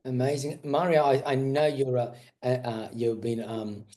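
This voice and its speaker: A man's voice: mid-range voice, level tone, unhurried, dark tone. A man hosting an interview, asking questions encouragingly with hesitant restarts. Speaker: host, boxing coach. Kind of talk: interview host.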